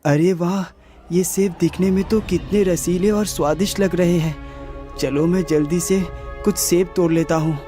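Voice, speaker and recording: Man playing a young cartoon prince, mellow warm tone, inquisitive, soft music behind. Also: high-pitched, fairly even intonation, normal speed, natural voice. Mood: eager. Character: young prince, curious, good-natured traveller. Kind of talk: Hindi moral-story cartoon acting.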